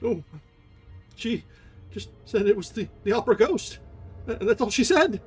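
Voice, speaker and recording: A man's voice: high-pitched, lively intonation, moderate pace, husky, faint music behind, pitched above the performer's own voice, exaggerated voice. A man doing a stammering, flustered inspector. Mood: sheepish. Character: nervous stammering male theatre inspector.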